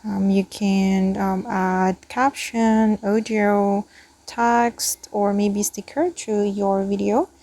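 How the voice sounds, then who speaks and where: A woman hosting a tutorial, narrating step by step with hesitant, drawn-out pauses. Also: mid-range voice, level tone, warm tone. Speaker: tutorial host.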